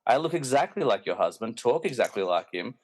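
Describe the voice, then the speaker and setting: Guy doing a snobby villain alter ego, pompous, bitter, ranty, mid pitched, radio play style. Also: big pitch swings, brisk. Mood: smug, menacing. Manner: pompous, ranting, self-important. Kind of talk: hobby podcast radio play acting.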